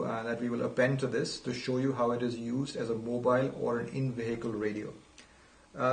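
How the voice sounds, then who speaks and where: A man giving an unscripted product demonstration as a company presenter, explaining things methodically. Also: medium pitch. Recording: roomy, distant from the mic.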